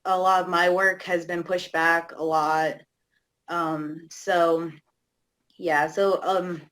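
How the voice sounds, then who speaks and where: A young woman activist from Alaska talking hesitantly in a casual panel conversation, with many fillers. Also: medium pitch. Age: young adult. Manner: hesitant, many fillers, laughs between phrases. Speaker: youth activist guest.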